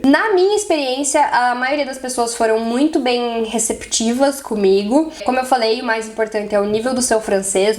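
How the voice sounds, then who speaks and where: A young adult woman with a Brazilian Portuguese accent, talking casually to camera and linking her thoughts with easy connecting words. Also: on the high side. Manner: chatty, strings thoughts with "e aí". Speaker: vlogger.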